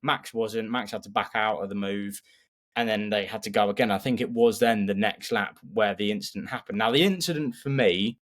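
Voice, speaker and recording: Lowish-voiced man giving opinionated commentary. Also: a little fast. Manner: opinionated, emphatic. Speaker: motorsport podcast co-host. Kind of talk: casual race analysis.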